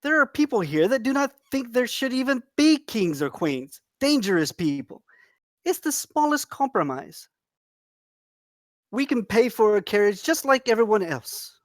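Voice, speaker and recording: Earnest servant companion, acted in a table read, mid-pitched, cautious, courteous, measured formal speech, dry sound. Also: big pitch swings, dry recording. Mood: cautioning. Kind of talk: acting in a film script table read.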